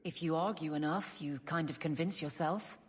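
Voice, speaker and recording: A woman's voice: deep voice, moderate pace, lightly acted. An actress voicing a blunt, loyal woman guard and former soldier in a video game, plain-spoken and dry. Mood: frank, weary. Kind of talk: video game character dialogue.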